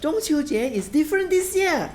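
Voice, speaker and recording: A woman plays a frugal Singaporean housewife who loves to host, in a radio drama. Her voice is fairly high and very animated, with chiding Singlish turns. Faint noise sits behind it. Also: normal speed. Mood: welcoming. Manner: lively, chiding, Singlish particles. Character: frugal, hospitable kampong housewife and mother hosting dinner. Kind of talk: radio play acting.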